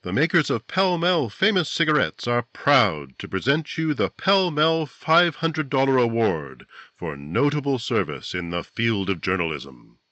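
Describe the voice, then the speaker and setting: An actor playing a polished, earnest 1950s radio announcer, delivering scripted copy in a formal, emphatic way. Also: male voice, medium pitch, big pitch swings, a little slow, few pauses, bright, forceful tone, no echo, lo-fi sound.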